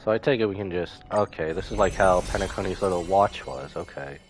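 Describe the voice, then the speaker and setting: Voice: low-pitched, fairly quick. A game streamer reacting live to a story, commenting casually and breaking into dramatic exclamations. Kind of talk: live gameplay reaction and commentary, reading some dialogue aloud. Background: video game music.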